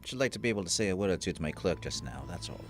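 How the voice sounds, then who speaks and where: A man's voice: low voice, lively intonation, racing, music in the background. A man playing an anguished, defensive older miser in an audio drama, speaking earnestly and emotionally. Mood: regretful.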